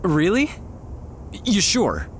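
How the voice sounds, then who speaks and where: A man playing a shy, tender young man confessing love to a childhood friend, hesitant and soft-hearted, in an intimate ASMR roleplay. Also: high voice, wildly swooping pitch, slight background noise, heavily acted. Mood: relieved, happy. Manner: soft-hearted, hesitant, halting with nervous chuckles. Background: quiet park ambience.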